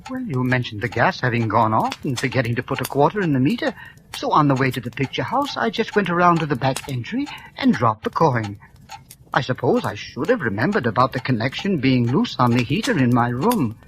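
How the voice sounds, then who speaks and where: An actor playing a polite, mild old gentleman lodger in a vintage radio drama, speaking in a courteous, gently fussy way. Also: mid-range voice, sing-song, a little fast, close to an everyday voice. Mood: apologetic. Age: older. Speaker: elderly lodger. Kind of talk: 1940s radio acting. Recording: hissy old radio broadcast.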